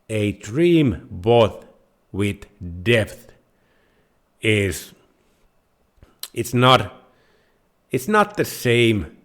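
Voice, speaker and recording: Finnish guy explaining stuff real slow, stops and restarts his sentences, hosts his own channel. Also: on the low side. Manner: halting, with pauses and restarts. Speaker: host of a personal finance channel. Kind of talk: unscripted explainer talking to viewers.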